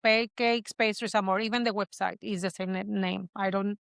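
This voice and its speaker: A woman's voice: mid-range voice, steady tone, moderate pace, vocal fry, a bit bright, dry recording, clean studio sound. A middle-aged bakery owner from Puerto Rico, a woman who speaks English as a second language with a Puerto Rican Spanish accent. She answers interview questions earnestly, often repeating words and restarting.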